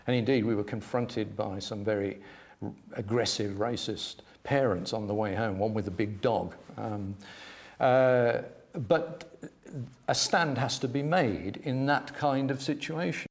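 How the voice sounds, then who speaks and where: A man's voice: on the low side, a little slow. A man who is a head teacher and author, speaking about his work in a reflective, literate way. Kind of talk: reading aloud and reflective interview talk.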